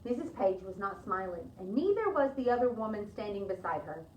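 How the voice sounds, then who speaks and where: A woman's voice: mid-range voice, sing-song, a little fast, distant mic, slight background noise. A woman reading a children's storybook aloud, with chatty asides to young listeners, in an echoey room with slightly dull sound.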